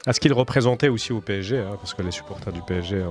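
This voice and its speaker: Lowish male voice, football pundit on radio, lots of uhs, faint music behind. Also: very fast, darkish tone. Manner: hesitant, lots of filler sounds. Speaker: studio pundit. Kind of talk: casual radio football talk. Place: radio studio.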